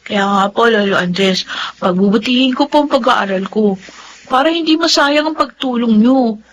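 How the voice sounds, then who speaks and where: A woman's voice: fairly high pitch, animated, normal speed, slight background noise, lightly acted. An actress playing a grateful, dutiful young Filipino college girl in a radio drama, respectful and eager. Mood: grateful, earnest. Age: young adult.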